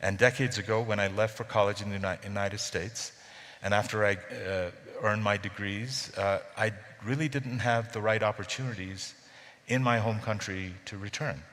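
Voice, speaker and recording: Low-voiced man in an echoing hall. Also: fairly even intonation, moderate pace, a bit bright. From Bangladesh. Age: middle-aged. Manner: hesitant, many fillers and restarts. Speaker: panelist. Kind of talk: panel discussion. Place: conference hall. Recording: reverberant conference hall.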